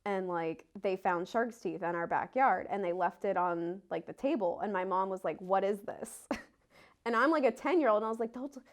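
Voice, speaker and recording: A woman's voice: mid-range voice, normal speed. A woman telling stories casually in an interview, colloquial and acting out what people said. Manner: colloquial, acts out quotes. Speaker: fossil hunter.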